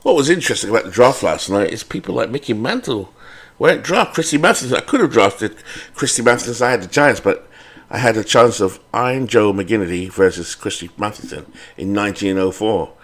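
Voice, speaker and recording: A man's voice: on the low side, animated, brisk. A British man hosting a baseball sim game channel, chatting casually and rambling with fillers and self-corrections.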